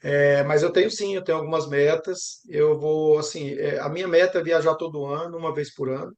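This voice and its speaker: A fairly high-pitched male voice with audible fry and a Brazilian accent. He talks in a reflective, conversational interview style, adding small tag questions as he goes. The room echoes noticeably around him. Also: fairly even intonation, fairly quick, a little mellow. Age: young adult. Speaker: geography professor interviewed about travel. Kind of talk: conversational interview answers, storytelling. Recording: roomy, echoing sound.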